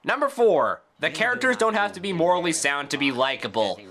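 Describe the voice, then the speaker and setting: A man's voice: a little high, animated, brisk, a bit bright. A man delivering scripted review commentary with opinionated, sarcastic asides. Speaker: video reviewer.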